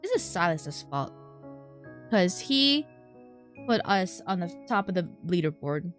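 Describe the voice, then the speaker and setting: A woman's voice: lowish voice, very animated, measured pace, faint music behind. A woman streaming a story game, reading its text aloud expressively with casual, wry asides. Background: game soundtrack.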